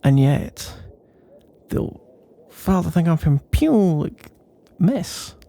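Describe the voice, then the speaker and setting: A man's voice: a little high, very animated, very slow, creaky, mellow. A man doing a casual, rambling ASMR boyfriend roleplay in a playful, mock-boastful and meandering way.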